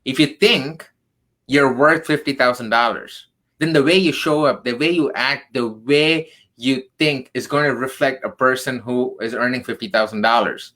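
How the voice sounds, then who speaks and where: A man's voice: medium pitch, moderate pace, pushed and bright, low-quality recording. A man from southern India in his thirties, a tech career coach telling his story in an earnest, emphatic way, tagging points with "right?". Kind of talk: radio interview storytelling.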